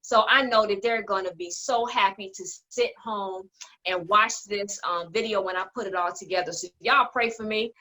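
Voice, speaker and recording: A woman's voice: medium pitch, normal speed, pushed and bright, poor audio. A woman hosting a casual cast discussion over a video call from home, speaking in an exuberant, emphatic way and often laughing. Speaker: host, playwright and producer of the cast. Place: at home indoors.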